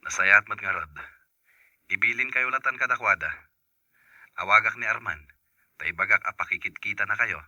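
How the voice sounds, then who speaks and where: A man's voice: on the low side, moderate pace, long pauses, pushed and bright, dry recording. A man playing a caring, plain-spoken father in a radio drama, in a dull, narrow recording. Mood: instructing. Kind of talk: Ilocano radio drama acting.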